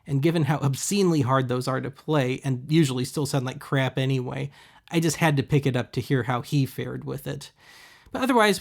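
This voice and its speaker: Wry man with a creaky voice. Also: medium pitch. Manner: wry, sarcastic. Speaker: host of a thrift-shopping video series. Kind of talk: casual scripted voice-over commentary.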